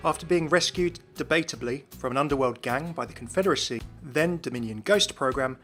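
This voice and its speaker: A man's voice: mid-range voice, fairly quick, fairly bright tone. A man giving explanatory narration about video game lore. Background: background music.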